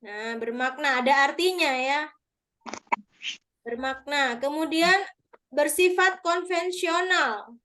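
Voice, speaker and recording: A woman with a high, light voice leads an online review class. She explains terms step by step, patiently checking answers with her participants over a video call. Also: takes it slowly, pauses often, pushed and bright. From Madura. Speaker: teacher. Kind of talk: online exam review lesson.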